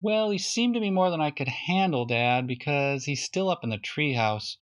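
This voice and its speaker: A man's voice: on the high side, expressive, moderate pace, natural voice. A man voicing a glum young boy, plaintive and slyly knowing, in a children's storybook reading. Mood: resigned.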